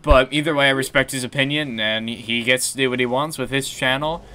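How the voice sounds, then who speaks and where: A young man with a deep voice chatting on a gaming stream, low-key and rambling with fillers and restarts. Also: fairly even intonation, a little fast, sharp tone. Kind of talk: casual gaming stream chat.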